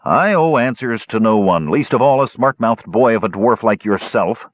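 A man voicing a stubborn older dwarf uncle in a fantasy audiobook, gruff but fond, with folksy, teasing scolding. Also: on the low side, animated, normal speed, no echo, dull audio, pitched above the performer's own voice. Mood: stern. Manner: old-fashioned folksy speech, scolding and teasing.

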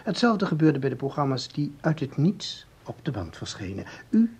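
An actor playing a calm researcher in a Dutch radio play, explaining formally and deliberately with small hesitations. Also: male voice, fairly high pitch, breathy edge, heavily acted. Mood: patient, explaining. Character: calm, explaining male researcher of time anomalies.

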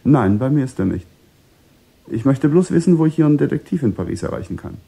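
A guarded, evasive man in a radio crime play. The voice is mellow and husky at a middling pitch, giving little away. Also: sing-song, brisk, full character voice. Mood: guarded, insistent. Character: guarded, evasive American man caught up in a kidnapping. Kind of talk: radio drama acting.

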